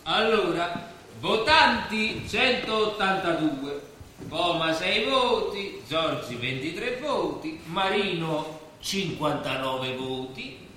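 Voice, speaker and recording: An actor playing a plain-spoken, excitable vote counter in a stage play. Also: male voice, on the high side, takes it slowly, a little hiss or hum, full character voice. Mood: matter-of-fact. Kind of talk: theatrical stage acting. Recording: distant, very echoey hall recording.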